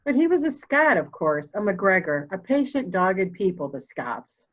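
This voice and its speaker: A woman playing a proud, formal, candid elderly mother in a murder mystery reading, speaking deliberately. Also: medium pitch, big pitch swings, dull audio. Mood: cool, musing. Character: proud, cold old mother, candid and slightly deaf.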